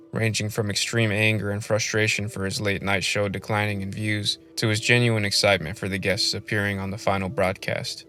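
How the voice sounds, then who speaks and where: Low-voiced man narrating a film video essay. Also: bright, forceful tone.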